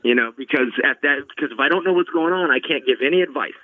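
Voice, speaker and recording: A man's voice: fairly high pitch, fast, dry recording, narrow, tinny audio. A middle-aged man from Los Angeles hosting a podcast, chatting earnestly and often repeating words as he starts a sentence. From Los Angeles, California. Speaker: podcast host. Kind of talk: casual conversational chat.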